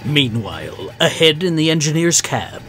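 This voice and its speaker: A man's voice: on the high side, sing-song, normal speed, background noise. A man playing the announcer of an old-time radio serial, narrating in a grandiose, suspenseful way.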